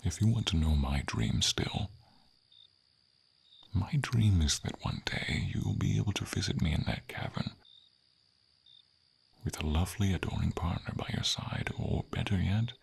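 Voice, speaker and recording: A man voicing an old, friendly silver dragon in an ASMR fantasy roleplay, solemn and tender, with a breathy rasp that often drops to a whisper. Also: low-pitched, darkish tone, full character voice. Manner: solemn, tender, formal storybook diction.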